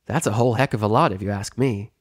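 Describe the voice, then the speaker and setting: A man's voice: lowish voice, quick pace, slightly rough, no room sound, clean studio sound. A man voicing a brash but caring young female pony with a casual, cocky, earnest way of talking.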